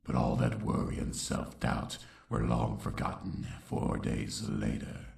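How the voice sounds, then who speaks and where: A grim unicorn stallion narrating his own dark past. The voice is extremely deep and heavy with gravel, brooding and dramatic, swinging in pitch. It sounds roomy and set back from the mic, like an audio drama. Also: level tone, measured pace, full character voice. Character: grim adult male unicorn recounting his troubled youth. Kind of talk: dramatic first-person story narration. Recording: roomy echo, distant voice.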